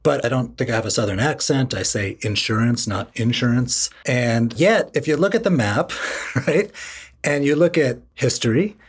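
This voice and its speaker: A man's voice: mid-range voice, moderate pace, husky. A man from Washington, D.C. co-hosting a podcast, casual and wry, asking questions and laughing easily. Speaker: podcast co-host. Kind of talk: casual chat.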